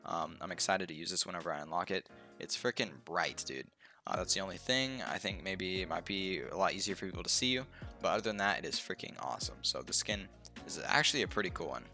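A man's voice: deep voice, lively intonation, fast, gravelly, sharp tone, soft background music. A young man with a stuffed-up, slightly nasal voice, casually walking through game unlocks with lots of ums and uhs at his desk mic. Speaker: gaming youtuber. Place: home room, indoors.

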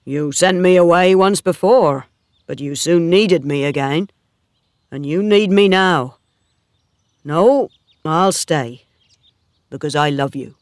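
A woman voicing an earnest, worried young male farm worker in love, calm, sad and sincere, as storybook dialogue. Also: lowish voice, slow pace, pauses often, smooth, dry recording, clean studio sound. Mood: sad, pleading.

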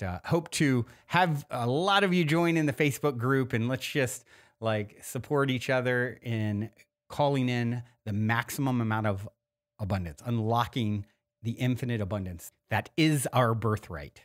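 A man from the US East Coast chatting informally and encouragingly as a podcast host introducing a recording. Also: mid-range voice. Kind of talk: casual podcast intro chat.

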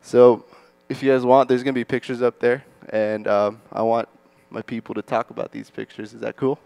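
A man's voice: mid-range voice, little pitch movement, moderate pace, creaky, a little mellow, dry recording. A young man casually moderating a student panel on an auditorium stage, upbeat and full of fillers. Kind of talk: moderating a school chapel panel.

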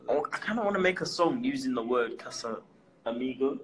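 A young man, a guest on a casual live-stream chat, easygoing and curious, laughing often in a bright indoor room. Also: medium pitch, expressive, fairly quick, slight background noise. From United Kingdom. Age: young adult.

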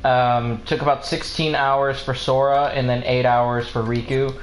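A man's voice: mid-range voice, flat delivery, unhurried. A man livestreaming a video game, chatting casually in rambling anecdotes with the odd swear word. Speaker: game streamer. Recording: roomy echo with game music behind.

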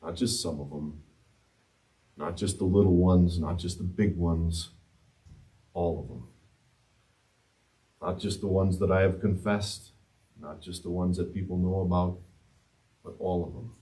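A man in his thirties preaching a sermon in a church sanctuary, swinging between loud impassioned rises and hushed quiet passages. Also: low-pitched, measured pace, pauses often. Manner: gestures widely while preaching standing before the altar. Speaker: pastor. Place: church sanctuary, mid-sized, indoors. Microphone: far camera, no handheld mic. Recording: speaker distant from the mic.

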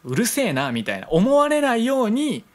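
A man's voice: extremely high pitch, wildly swooping pitch, brisk. A young man with a Kansai accent co-hosting an internet radio show, bantering casually into a studio mic. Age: young adult. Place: small indoor room studio. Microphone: studio mic on stand, headphones.

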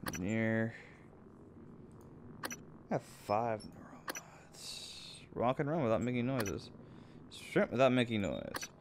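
A man's voice: medium pitch, lively intonation, slow, long pauses, slight background noise. A man giving casual, jokey and sometimes sweary commentary while playing a video game with a friend.

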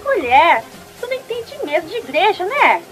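A woman's voice: very high voice, big pitch swings, moderate pace, fairly bright tone, faint music behind, exaggerated voice. An actress playing a chatty, eager churchgoing village woman with a Brazilian accent and a folksy, teasing manner in a radio soap opera. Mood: teasing, explaining.

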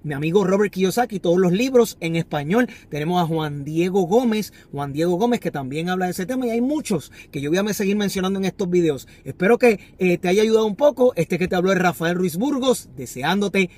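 A man's voice: sits high, expressive, fairly quick, few pauses, darkish tone. A man in his thirties giving earnest, instructive money advice to camera from inside a parked car, repeating his key phrases. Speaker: finance vlogger. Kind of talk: motivational talk to camera. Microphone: phone camera on the dashboard.

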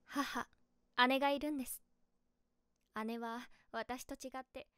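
A woman acts out a sociable streamer in her late twenties for an audio drama. The voice is high and recorded close and dry. She speaks politely, as if addressing followers on a livestream. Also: fairly even intonation, slow pace, a bit bright, clean tone, no echo. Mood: modest. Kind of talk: situation voice drama.

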